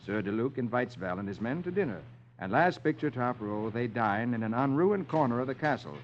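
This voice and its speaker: A man's voice: mid-range voice, animated, brisk, a little hiss or hum. A man hosting a 1950s children's radio show, reading the comics aloud in a theatrical storyteller manner. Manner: theatrical, sing-song storyteller delivery. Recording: muffled old radio recording with music.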